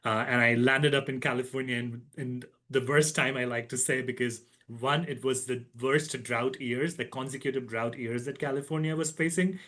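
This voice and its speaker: A man in his thirties looks back on his career as a podcast guest, reflective and thoughtful, restarting phrases with fillers. His voice sits at an everyday middle pitch. He speaks at a steady conversational pace. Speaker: guest, agronomy professor. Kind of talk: podcast interview.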